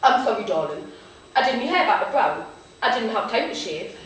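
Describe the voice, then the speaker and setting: A woman's voice: on the high side, sing-song, a little fast. A woman voicing a sleazy, coaxing man, in a dramatic monologue. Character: sleazy, coaxing man in his twenties, predatory. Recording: echoey, distant room recording with noise.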